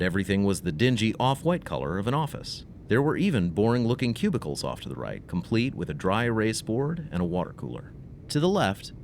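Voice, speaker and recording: A man's voice: on the low side, a little hiss or hum. A man narrating a scripted audio story in a dramatic, slightly wry manner.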